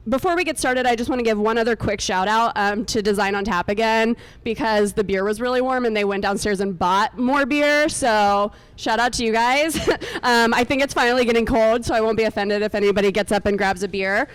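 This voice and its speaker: A woman's voice: a little high, a little fast, few pauses, clean tone, no room sound. A woman hosting an event in a chatty, informal, joking way, speaking up into a microphone. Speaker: event host and co-founder introducing a speaker. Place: meetup event room with air conditioning.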